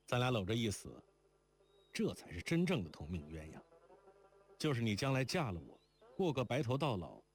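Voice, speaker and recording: A man's voice: fairly low pitch, husky. A man voicing a grimly devoted young lover. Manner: earnest, bitter and insistent.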